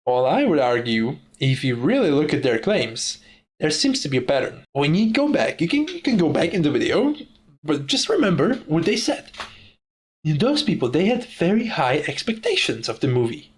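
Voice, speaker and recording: A man's voice: fairly high pitch, big pitch swings, normal speed. A young man from the Czech Republic with a Czech accent, speaking English as a second language in a profane, ranting casual monologue. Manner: profane, ranting, laughs often. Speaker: YouTuber.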